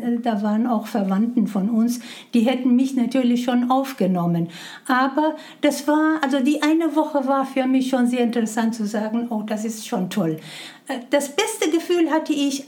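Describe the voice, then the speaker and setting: A woman's voice: fairly high pitch, darkish tone. A middle-aged woman from Iran with a Persian accent, speaking non-native German hesitantly with many fillers as an interview guest. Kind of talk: conversational interview.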